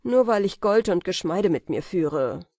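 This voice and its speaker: A woman's voice: fairly low pitch, animated, unhurried, a little mellow, no echo, clean studio sound. A woman reading an audiobook, voicing a fearful young male goldsmith apprentice who pleads and protests. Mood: anxious. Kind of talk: audiobook character voice.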